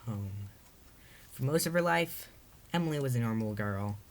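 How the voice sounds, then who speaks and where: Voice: mid-range voice, expressive, moderate pace. A narrator reading their own story aloud in a storybook read-aloud style.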